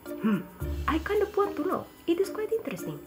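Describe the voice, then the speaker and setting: Lively playful woman with Swedish accent. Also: very high voice, brisk. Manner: chatty, playful, giggly. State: ill. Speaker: vlogger. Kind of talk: casual chat to camera. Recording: background music under the voice.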